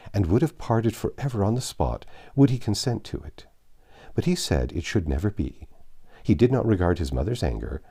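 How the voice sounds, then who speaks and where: A man's voice: lowish voice, mellow. A man voicing an effusive, ingratiating young woman's letter in an audiobook, in a gushing, deferential manner. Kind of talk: audiobook letter read in character.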